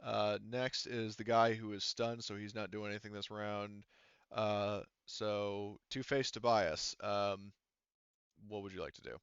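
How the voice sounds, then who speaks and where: A man's voice: lowish voice, level tone, measured pace, a bit bright, smooth, no room sound, highs cut off. A man with an informal, sweary way of talking, running an online tabletop roleplaying game as game master.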